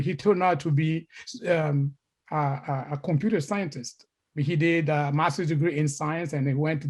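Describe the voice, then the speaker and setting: A middle-aged man from Congo giving a lecture as a story, repeating words and restarting sentences. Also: fairly high pitch.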